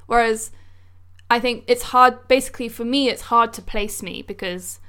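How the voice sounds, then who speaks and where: A woman's voice: on the high side, normal speed, bright, forceful tone, clean tone. A woman co-hosting a podcast, talking casually and reflectively in informal slang. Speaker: podcast co-host. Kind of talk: casual conversational discussion.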